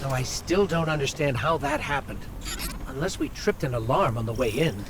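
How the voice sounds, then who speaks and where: A man's voice: mid-range voice, expressive, noisy background, lightly acted. A man voicing an eager little robot companion. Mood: curious. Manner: quick, inquisitive, reactive. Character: small floating robot companion, eager and curious. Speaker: companion character in a video game. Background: game music.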